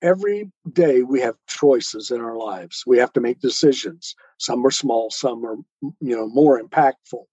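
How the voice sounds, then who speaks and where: Mellow, husky man in a dull recording. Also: mid-range voice, a little slow, dry recording. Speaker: interview guest, poet and author. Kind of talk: conversational interview answers. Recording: dull lo-fi sound.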